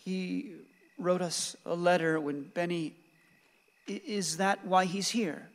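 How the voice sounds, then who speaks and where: Troubled son, high-ish, echoing. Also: male voice, steady tone, slow pace. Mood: confused, sad. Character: troubled, confused, grieving grown son of the family. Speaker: actor in a radio play. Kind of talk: emotional stage-style radio drama acting. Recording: reverberant hall-like room.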